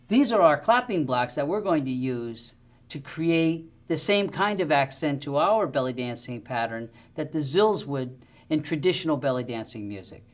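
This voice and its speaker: A middle-aged man explains things as a music instructor. His delivery is methodical and instructional, with a lively, varied pitch that moves up and down as he demonstrates. He is recorded indoors on a lapel mic. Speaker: music teacher. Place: indoor studio with plain backdrop.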